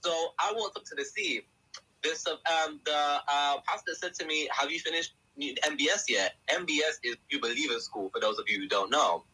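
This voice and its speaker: A young man with a husky, very bright, forward voice, speaking a little high for a man. He tells his story as a podcast guest in a lively, animated way and slips into the voices of the people he quotes. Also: normal speed, rough recording. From England. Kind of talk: casual podcast testimony.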